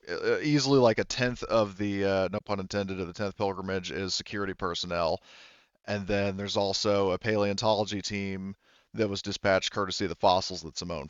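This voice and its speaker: Male game master, casual and explanatory, low clean voice, close dry sound with dulled top end. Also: clear, resonant tone, no echo, highs cut off. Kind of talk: casual tabletop game narration and rules talk.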